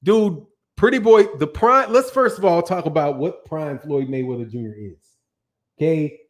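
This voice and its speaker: A man's voice: on the high side, animated, moderate pace. A man hosting a casual boxing livestream, reading viewer comments and arguing in loose, slangy banter that keeps trailing off and restarting. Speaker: boxing channel host.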